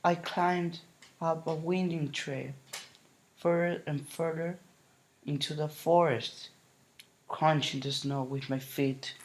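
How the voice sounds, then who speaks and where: A man reading a children's picture book aloud in a solemn, deliberate way, with dramatic pauses. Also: a little high, steady tone, poor audio. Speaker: storybook reader.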